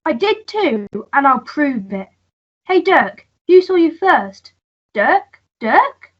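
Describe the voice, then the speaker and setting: A woman's voice: high-pitched, sing-song, slow pace, exaggerated voice. A woman playing a possessive, argumentative jilted sweetheart in an amateur melodrama radio play. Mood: indignant. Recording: slightly dull lo-fi recording.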